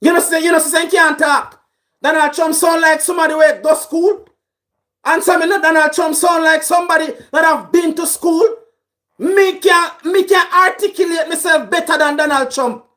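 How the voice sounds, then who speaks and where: A man with a Jamaican accent, shouting through an angry political rant. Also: very high-pitched, fairly even intonation, moderate pace. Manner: shouting, raging.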